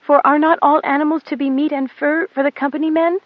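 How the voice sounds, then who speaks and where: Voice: high-pitched, little pitch movement, fairly quick, dry recording, phone-quality sound, pitched above the performer's own voice, lightly acted. An audiobook narrator voicing a timid, self-abasing young girl who pleads fearfully. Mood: fearful pleading.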